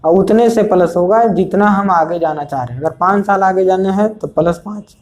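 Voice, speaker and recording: Male exam coach, warm low-key tone, repetitive board-style explaining. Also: normal speed. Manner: repetitive, checks understanding often. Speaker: math teacher. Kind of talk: exam prep lesson at a board.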